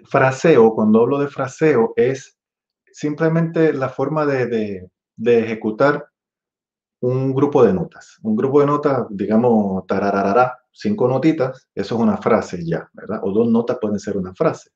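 A man in his forties with a Puerto Rican accent, teaching an online music masterclass in a relaxed, chatty way, singing rhythms with syllables. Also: mid-range voice, measured pace. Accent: Puerto Rican Spanish. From Puerto Rico. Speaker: trumpet teacher. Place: room with green wall. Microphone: webcam at desk. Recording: dull lo-fi webcam audio.

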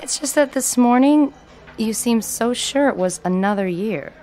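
An actress playing a gentle young newlywed wife, speaking in a polite, earnest way. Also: female voice, on the high side, expressive, moderate pace, natural voice. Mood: worried. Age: young adult. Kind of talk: dramatic screen acting.

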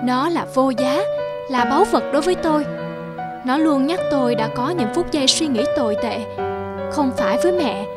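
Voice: high-pitched, lively intonation, moderate pace. An actor playing a remorseful grown man who looks back on boyhood, in solemn cartoon voice-over. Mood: solemn regret. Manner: solemn, regretful. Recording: loud music behind the voice. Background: music.

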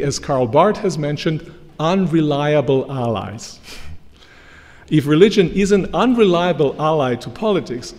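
A middle-aged man giving an academic lecture from a lectern, measured and emphatic. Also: fairly high pitch, darkish tone. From Croatia. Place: large lecture hall, standing at a lectern. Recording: long hall reverb from lectern mic.

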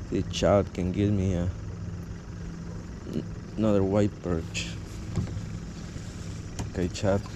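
A man filming himself fishing from a kayak outdoors on a river, giving casual commentary with excited exclamations and talking to the fish he catches. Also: on the low side. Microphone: head-mounted action camera. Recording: loud outdoor water and wind noise.

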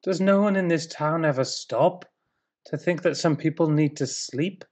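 A man's voice: fairly high pitch, normal speed, darkish tone, close to an everyday voice. Grumpy, sceptical British husband acted by a man. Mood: sarcastic grumbling. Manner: grumbling, sarcastic, exasperated. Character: grumpy, sceptical, sleep-deprived husband in Bethlehem. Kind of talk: dramatic spoken-word verse reading.

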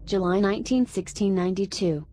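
A woman voicing a text-to-speech reader, reading an old document aloud in a formal, measured, flat manner. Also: medium pitch, close to an everyday voice. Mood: impassive. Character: screen-reader voice reading an old court warrant. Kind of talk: formal read-aloud in a game. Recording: faint noise behind.

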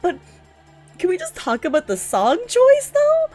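A woman giving an excited live reaction as a video host, gushing, squealing and repeating her phrases. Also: extremely high pitch, measured pace, faint music behind. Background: game trailer soundtrack.

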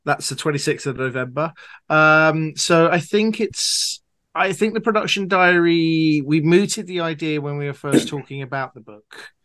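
A man's voice: a little high, normal speed, a bit bright, no room sound. A man giving conversational, explanatory answers as an author being interviewed, talking at length.

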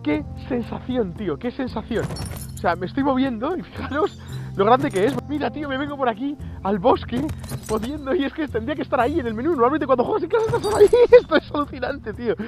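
A man in his thirties with a Spanish accent from Spain, giving excited commentary while playing a virtual reality game outdoors, exclaiming and laughing at his viewers. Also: extremely high pitch, quick pace. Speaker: VR gaming youtuber. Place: outdoors on an empty road at dusk. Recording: music under voice. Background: background music.

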